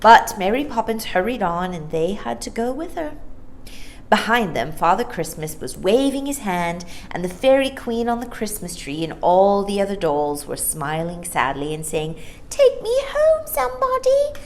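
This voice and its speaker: A woman reading a children's story aloud in an expressive, theatrical way, acting out the dialogue. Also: fairly low pitch, normal speed, a little hiss or hum. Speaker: storytime reader.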